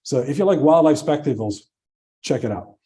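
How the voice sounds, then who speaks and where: Guy giving a science talk, really dark soft-edged voice, chatty and keen, mid pitch. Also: lively intonation, moderate pace. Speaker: conservation scientist giving a presentation. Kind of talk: informal conference talk to an audience.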